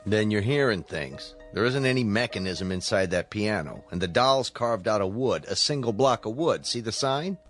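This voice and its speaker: A man's voice: lowish voice, lively intonation, moderate pace, hardly any pauses, a bit bright, faint music behind. An actor playing a folksy, no-nonsense male museum guard in a radio drama, plain-spoken and casual. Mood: stern, dismissive.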